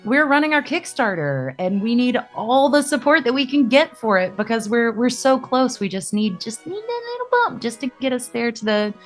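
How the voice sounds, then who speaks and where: A woman's voice: on the high side, big pitch swings, moderate pace, few pauses, soft background music. A woman speaking on a podcast in a casual, promotional chat style.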